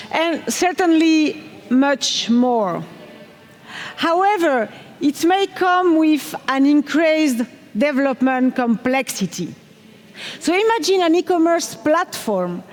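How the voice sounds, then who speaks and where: A woman's voice: high voice, a little mellow. A middle-aged French woman with a French accent, giving an enthusiastic technical conference talk on stage and asking the audience questions. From France. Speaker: conference speaker. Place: large conference hall on stage. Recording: hall reverb from a big room.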